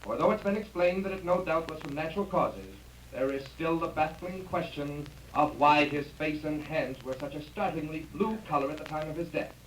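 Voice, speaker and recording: An actor playing a formal, declamatory newsreader in a 1930s radio drama. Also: male voice, on the high side, moderate pace, close to an everyday voice. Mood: formal, dramatic. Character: male radio newsreader reporting local scandal. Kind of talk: 1930s radio news bulletin acting. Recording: distant, echoey, hissy old radio recording.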